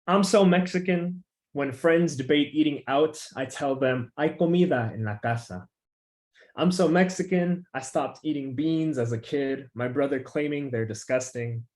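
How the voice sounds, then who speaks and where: Relaxed young man reading poetry. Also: on the high side, normal speed. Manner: relaxed, loose talk with frequent "like" fillers; emphatic, rising refrain when reading poems. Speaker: featured poet. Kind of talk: casual chat and poetry reading.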